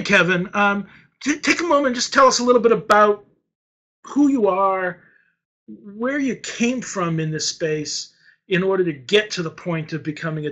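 A man's voice: high-pitched, sharp tone. An older man from Boston, hosting a web talk show over a video call, chatty with many fillers. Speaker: host. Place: home office. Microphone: video call webcam.

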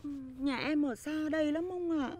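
Woman doing a scheming dramatic village wife, high voice, northern vietnamese, comedy sketch. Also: normal speed, darkish tone, slight background noise, lightly acted. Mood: coy, faint. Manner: wailing, theatrical complaining.

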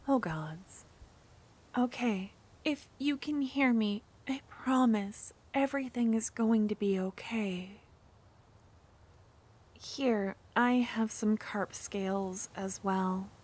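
A woman playing a shy, kind-hearted young witch in an ASMR fantasy romance roleplay, speaking softly and hesitantly, often close to a whisper. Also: a little high, takes it slowly, long pauses. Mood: gentle, determined. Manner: soft, breathy, hesitant, often dropping to a whisper. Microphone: close ASMR microphone.

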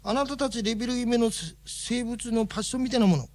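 A man's voice: extremely high pitch, fast, darkish tone, no room sound. A man playing a folksy, fussy alien official in a radio drama, explaining things in a colloquial way.

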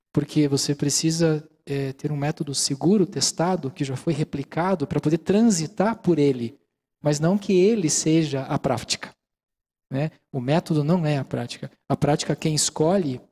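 A middle-aged man with a Brazilian accent explains his subject calmly, his voice sitting slightly high. He speaks into a handheld microphone in a large auditorium and keeps checking in with his listeners. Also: moderate pace, slightly rough. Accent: Brazilian Portuguese. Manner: calm, explanatory, many fillers like "né". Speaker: mindfulness lecturer. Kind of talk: relaxed lecture with audience questions.

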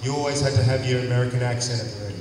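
A man's voice: medium pitch, fairly even intonation, rapid-fire, a little mellow. Aussie guy yarning at a con panel, roomy hall, crowd noise. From Australia. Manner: chatty, anecdotal. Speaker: panel guest actor. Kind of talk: casual convention panel talk. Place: large convention hall panel, indoors. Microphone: handheld mic. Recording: echoey hall, far from the mic, crowd noise.

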